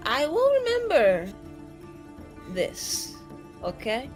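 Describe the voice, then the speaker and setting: A woman voicing an excitable, flustered young female mage who gushes in slangy exclamations in a tabletop game. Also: high-pitched, slow, faint music behind, full character voice. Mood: indignant. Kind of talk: tabletop roleplaying in character.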